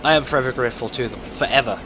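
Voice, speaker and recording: A man's voice: mid-range voice, racing, noisy background. A man hosting a gaming video, talking casually and thinking aloud in a conversational let's play commentary.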